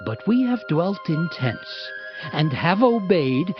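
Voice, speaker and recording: An actor voicing solemn, dutiful biblical tribesmen in a slow, emphatic, declamatory scripture dramatization. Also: mid-range voice, big pitch swings. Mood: solemn, resolute. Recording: dull audio with prominent music behind.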